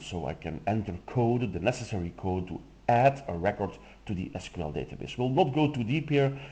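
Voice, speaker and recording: Deep-voiced Dutch-native man demoing software. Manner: relaxed step-by-step explaining with fillers. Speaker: software demo presenter. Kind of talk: screen-recorded software walkthrough.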